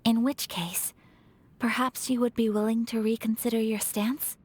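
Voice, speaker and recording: A woman playing a gracious young noblewoman in a video game, speaking formally, with elegant, earnest and measured phrasing. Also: on the high side, flat delivery, breathy edge. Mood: solemn, earnest. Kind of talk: video game character acting. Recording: music playing behind.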